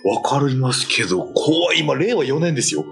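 A man chatting casually as a guest, reacting in an excitable, exclamatory way. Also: medium pitch, moderate pace. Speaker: guest actor. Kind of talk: casual chat, reacting to stories. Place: small meeting room, indoors. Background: faint music.

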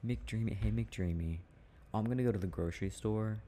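A man playing a teasing, easygoing boyfriend in a casual, intimate ASMR roleplay, talking in a halting, musing way. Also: on the low side, mellow, slight background noise, close to an everyday voice. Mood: playful teasing. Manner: halting, musing, chuckling delivery. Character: teasing, easygoing boyfriend chatting with his partner.

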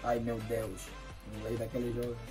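A man's voice: mid-range voice, completely flat delivery, moderate pace, warm tone, faint music behind, poor audio. Young man asking slangy questions. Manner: slangy, asks short prodding questions. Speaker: interviewer friend. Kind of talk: casual questions. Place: indoor room, flag backdrop.

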